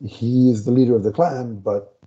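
Dark-voiced man rambling on a video call. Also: mid-range voice, steady tone, moderate pace. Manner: rambling, often searching for words. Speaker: podcast co-host. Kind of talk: casual comic review chat. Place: home room, video call. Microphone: headset. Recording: dull lo-fi video call audio.

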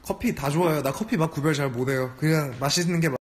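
A young man hosting a casual phone livestream from a dim room at night, reading viewer comments aloud and chatting back in a relaxed way. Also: on the high side, very fast. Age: young adult. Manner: relaxed, chatty, switching between English and Korean. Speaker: livestream host. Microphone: phone held close at arm's length.

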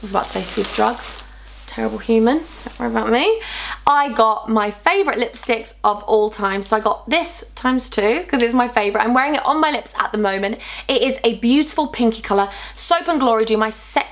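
A young woman, chatting bubbly and gushing in a casual beauty haul vlog, her voice a little worn. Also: a little high. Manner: bubbly, gushing, animated with hands. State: slightly losing her voice. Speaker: beauty vlogger. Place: small indoor room with sofa.

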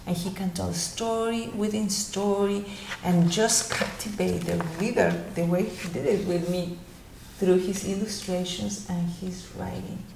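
A woman in her thirties from Mexico with a Mexican Spanish accent, answering interview questions at home in a gentle storytelling tone for children. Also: mid-range voice, fairly even intonation, a little slow, creaky. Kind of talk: casual answers to a video interview. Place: living room at home. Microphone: laptop webcam. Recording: roomy home webcam recording, faint hiss.

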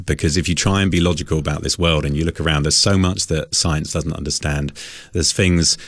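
A man who is a singer-songwriter and interview guest, talking reflectively and haltingly about his faith, repeating words as he thinks aloud. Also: very deep voice, dry recording. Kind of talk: conversational radio interview, reflective testimony.

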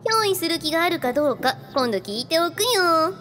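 A woman's voice: very high voice, very animated, brisk, a bit bright, heavily acted. A woman playing a glamorous, gracious female spirit in an audio drama, speaking in a languid, coaxing, familiar way. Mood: languid, wistful. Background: background music.

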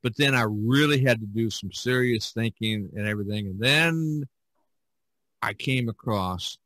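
A man's voice: fairly low pitch, measured pace, fairly bright tone, dry recording. A man hosting a casual podcast discussion, telling his story in a rambling, emphatic way. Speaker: podcast host and artist.

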